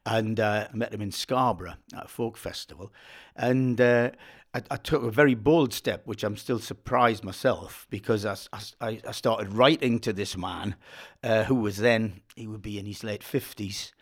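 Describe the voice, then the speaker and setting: A man's voice: on the low side, normal speed. An older man, reminiscing in an interview and often repeating words as he tells his stories. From Northeast England. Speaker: folk singer of sea shanties.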